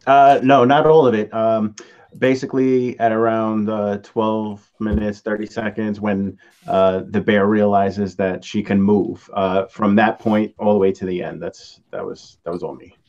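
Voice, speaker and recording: A man, a composer, answering casually and haltingly on a podcast panel. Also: on the low side, little pitch movement, a little mellow, muffled recording. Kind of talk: casual chat.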